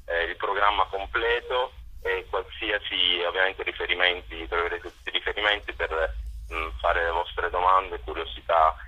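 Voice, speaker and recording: A man's voice: low voice, steady tone, normal speed, sharp tone, poor audio. A man organizing cultural events, answers interview questions, hesitant and full of fillers.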